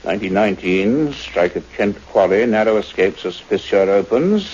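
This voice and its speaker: Casting: male actor as methodical doctor of science, radio play lead and narrator. Lowish, formal, exact delivery; dull-sounding tape. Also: expressive, darkish tone, close to an everyday voice. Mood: grave, reading out. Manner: formal, measured, precise. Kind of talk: radio drama acting and scripted narration. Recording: muffled radio recording with faint noise.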